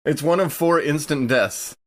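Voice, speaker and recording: A man's voice: a little high, moderate pace, fairly bright tone. A man chatting casually as a player at a tabletop role-playing game.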